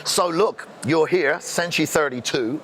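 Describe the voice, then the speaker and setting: A man's voice: fairly high pitch, a little slow, a bit bright. A man hosting a fight interview show, jokey and chatty as he asks questions. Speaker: interviewer host. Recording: noisy background.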